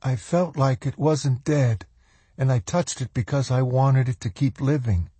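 A man voicing a shy, gentle, uncertain girl in an audiobook story, speaking softly and hesitantly. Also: mid-range voice, unhurried, slightly rough, no echo, pitched above the performer's own voice. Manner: soft, hesitant.

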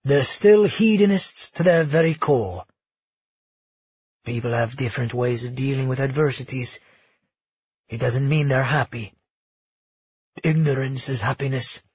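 A man plays a brooding young prince who talks in low, clipped, dry tones. He has a husky edge and sounds close and dry. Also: a little slow, long pauses, darkish tone, dry recording, highs cut off. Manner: dry, curt, menacing.

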